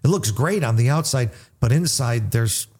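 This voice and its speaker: Mellow-voiced man with reflective stories. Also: mid-range voice, animated, moderate pace, slightly rough. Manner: reflective, tells personal stories to make his point.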